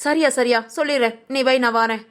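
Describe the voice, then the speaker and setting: A woman plays a grandmother and aunt in a family audio drama. Her voice is high, and she talks in a brisk, chatty, homely way with a caring yet skeptical temper. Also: steady tone, a bit bright, lightly acted. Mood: surprised.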